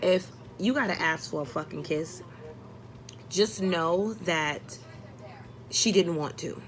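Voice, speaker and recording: A woman's voice: fairly low pitch, takes it slowly, poor audio. A young adult woman telling a personal story casually, exasperated and blunt, acting out dialogue and swearing often.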